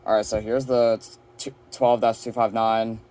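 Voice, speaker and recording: A man with a fairly low voice talks casually through a home show-and-tell of his collection. He presents item after item in an informal vlog style, with faint noise on the recording. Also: flat delivery, normal speed, warm tone. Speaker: collector presenting a weather radio shelf tour. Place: indoor room at home with a shelf of radios.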